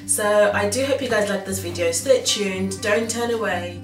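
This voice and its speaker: A woman's voice: mid-range voice, normal speed, over music. A woman in her thirties from Nigeria with a slight Yoruba accent, chatting casually to camera as a fashion blogger, rambling with frequent ums and restarts. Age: 30s. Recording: roomy sound from far off.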